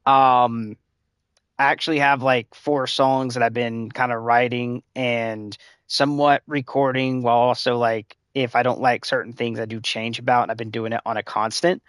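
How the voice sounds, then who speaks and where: A slightly hoarse man chatting through a live gameplay stream at a middling pitch, explaining builds in a loose, rambling way. His voice is dry and close, with no room sound behind it. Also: little pitch movement, normal speed, fairly bright tone, no room sound. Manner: rambling, filler-heavy advice-giving. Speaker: game streamer.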